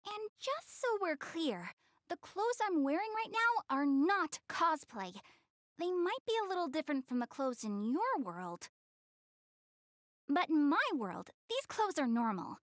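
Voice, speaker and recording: A woman's voice: sits high, sing-song, a little slow, dry recording, studio quality. A young woman playing a proud, easily flustered, cute anime goddess girl in a video game, speaking bashfully and defensively to the player. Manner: bashful, defensive, stammering when embarrassed.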